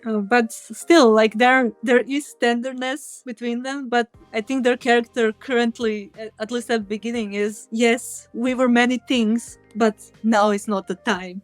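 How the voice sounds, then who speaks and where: Female developer in conversation, slightly high voice, informal and illustrative, close dry sound. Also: fairly even intonation, normal speed, hardly any pauses, dry recording, soft background music. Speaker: game developer being interviewed. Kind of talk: casual interview chat.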